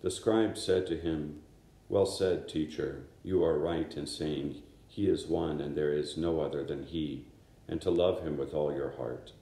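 A man in his fifties, a priest, reading the Gospel and giving a conversational, unhurried homily in a small chapel. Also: a bass voice, mellow, roomy sound. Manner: conversational, unhurried preaching with gestures. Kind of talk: homily at daily Mass. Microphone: camera in front of him.

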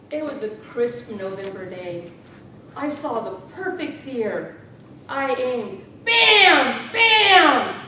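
Echoey, theatrical high-pitched woman storyteller. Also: pushed and bright. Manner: theatrical, deliberate storytelling with dramatic emphasis. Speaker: contest speaker. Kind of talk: humorous tall-tale speech to an audience. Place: small meeting room with rows of chairs. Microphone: far camera. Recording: echoey room from far off, noisy rumble.

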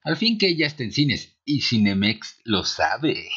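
A man's voice: mid-range voice, sing-song, a little slow, highs cut off, over loud music. A Mexican man giving an informal scripted film review in a sarcastic, slangy, opinionated way. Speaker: video reviewer.

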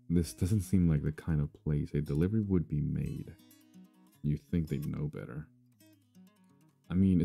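A blunt werewolf alpha with a very deep, dark, gravelly voice. He talks in a plain, businesslike way. Soft guitar plays behind him. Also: exaggerated voice. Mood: skeptical. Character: gruff werewolf alpha man, auto shop owner. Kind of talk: audio drama roleplay.

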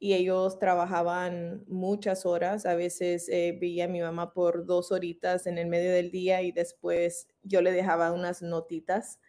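A woman's voice: on the low side, warm tone. A woman in her forties from Philadelphia, answering interview questions over a video call in a reflective, storytelling way. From Philadelphia, USA. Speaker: muralist guest. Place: room at home. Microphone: laptop webcam.

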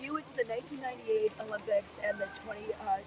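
A woman's voice: fairly high pitch, fast, poor audio. A young woman hosting an interview outdoors at a snowy ski base, holding a handheld mic and speaking in a bubbly, promotional way. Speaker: host. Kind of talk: upbeat event interview. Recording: faint outdoor ambient noise.

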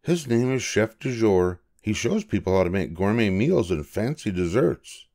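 An older man voicing a kind, patient wife who speaks gently and reassuringly. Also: fairly low pitch, sing-song, moderate pace, voice pushed up. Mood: gentle. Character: kind, patient middle-aged wife, woman.

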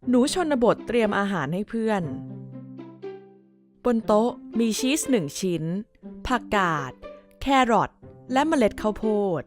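A woman's voice: mid-range voice, lively intonation, measured pace, long pauses, a little mellow, soft background music. A woman narrator reading a scripted bedtime story for children.

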